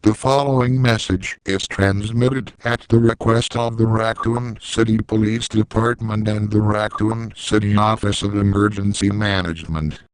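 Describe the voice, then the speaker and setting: A man reading a scripted emergency alert as an announcer, formal, detached and measured. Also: on the low side, steady tone, clear, resonant tone, dry recording, rough recording.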